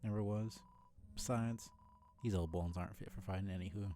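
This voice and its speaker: A man's voice: on the low side, steady tone, fairly quick, warm tone, slight background noise, natural voice. A man voicing a weary, defiant old monster shopkeeper in a video game, with a wry, scornful manner. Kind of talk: video game character read-aloud.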